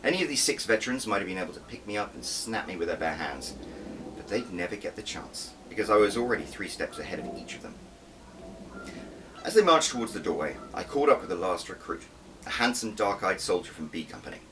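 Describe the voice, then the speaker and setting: Male author-narrator: deep, expressive first-person storytelling, recorded slightly off the mic. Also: fairly quick. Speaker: author reading own audiobook. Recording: distant mic with faint background noise.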